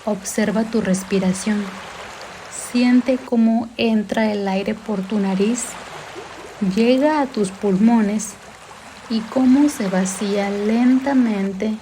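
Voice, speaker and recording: A woman leads a guided meditation with a soft, mellow voice. She speaks slowly and gently, calming the listener with each instruction. Sea water sounds run clearly behind her. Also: fairly high pitch, a little hiss or hum. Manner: calm, gentle, soothing, unhurried instruction. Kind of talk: guided mindfulness meditation narration. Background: sea sounds and background music.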